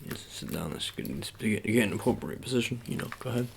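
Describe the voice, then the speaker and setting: A man speaking softly with a gravelly voice in a casual ASMR roleplay, giving low-key, hesitant, repetitive instructions as an agent. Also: animated, quick pace, heavy background noise.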